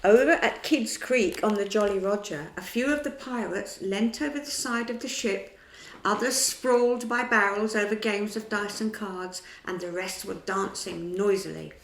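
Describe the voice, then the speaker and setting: Creaky-voiced woman reading a story. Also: mid-range voice, moderate pace. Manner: dramatic, shifts to whispers. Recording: echoey room.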